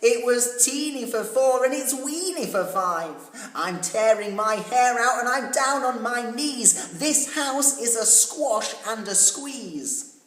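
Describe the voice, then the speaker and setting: A storyteller doing an animated, exclaiming little old lady. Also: mid-range voice, slow pace, pitched above the performer's own voice. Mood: distressed. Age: elderly. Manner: dramatic, exclaiming in distress. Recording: echoey, reverberant room.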